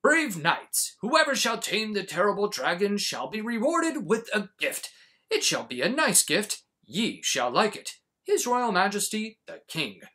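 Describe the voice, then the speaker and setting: A man's voice: high-pitched, sing-song, unhurried, pushed and bright, heavily acted. Man putting on a pompous, declamatory storybook king. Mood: pompous, fed up. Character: stern pompous storybook king making royal proclamations.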